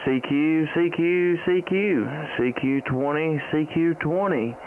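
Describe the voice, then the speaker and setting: A man with a Southern US accent speaking on a ham radio live stream in a halting, easygoing, folksy way. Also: fairly high pitch. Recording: muffled phone-like audio with faint noise.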